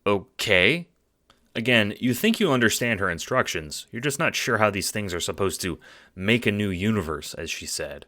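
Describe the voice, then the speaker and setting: A man's voice: fairly low pitch, expressive, moderate pace, sharp tone. A man narrating a scripted audio drama in the second person, as a storyteller. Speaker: narrator and lead actor.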